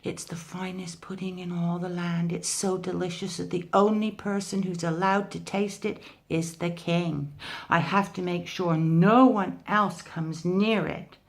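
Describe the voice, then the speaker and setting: A woman voicing a small, quick-witted male mouse deer in a children's storybook, gabbling fast to talk his way out of trouble. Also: lowish voice, fairly even intonation. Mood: sly. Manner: gabbling, clever, talks his way out. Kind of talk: storybook read-aloud.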